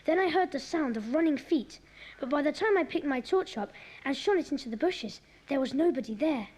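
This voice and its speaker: A woman playing an eager, adventurous schoolboy in a children's audio drama, earnest and excitable, on a noisy cassette recording. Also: sits high, brisk. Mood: breathless, excited.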